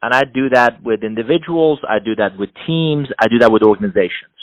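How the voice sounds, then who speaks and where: A middle-aged man from Iran, a non-native English speaker, giving measured, explanatory answers as an interview guest, often building his points in repeated phrases. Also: medium pitch, dry recording, rough recording. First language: not English. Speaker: interview guest, executive coach and author.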